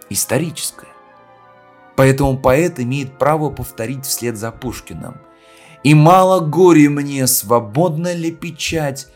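A solemn male poet played by a man declaims a literary speech at a slow pace. He sets phrases apart with deliberate pauses, in a mid-range voice. Music plays faintly behind him. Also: medium pitch. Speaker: reader of a poet's speech in an audio play. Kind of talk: literary oration, declaimed reading.

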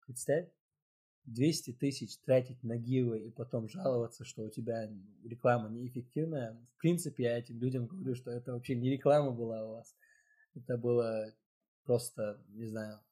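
A man's voice: mid-range voice, normal speed, mellow, breathy edge. A man with a Chechen accent co-hosting a casual chat, chiming in frankly. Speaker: co-host.

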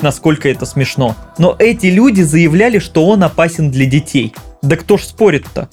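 A man's voice: high voice, a little mellow. A man presenting scripted film commentary as a critic, with conversational asides.

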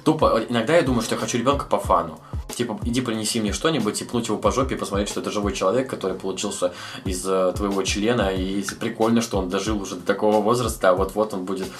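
Young man, lowish voice, rapid-fire and preachy, podcast co-host with faint music underneath. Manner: lecturing, quick and provocative. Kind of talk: casual podcast chat.

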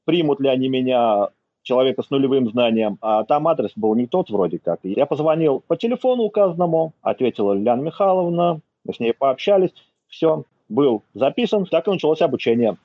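A man's voice: medium pitch, very animated, brisk. A man talking casually as a podcast guest about learning a language, telling his story as he thinks aloud. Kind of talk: casual interview talk. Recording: dull lo-fi recording.

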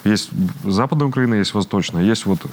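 A man in his thirties from Ukraine, speaking as an interview guest in an earnest, halting way with frequent restarts. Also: lowish voice, a little mellow. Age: 30s. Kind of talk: interview conversation.

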